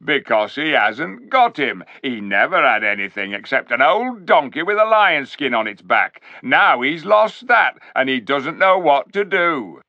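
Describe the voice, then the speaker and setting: A man's voice: medium pitch, sing-song, a little slow, hardly any pauses, no room sound, voice pushed up. A man voicing a scornful, sneering male chief dwarf in a storybook reading. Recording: dull lo-fi recording.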